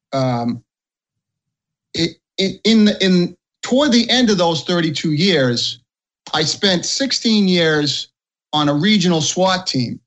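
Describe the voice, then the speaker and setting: A middle-aged man, a retired police officer from the Boston area, answering interview questions haltingly with many pauses and ums. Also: a little high, fairly bright tone.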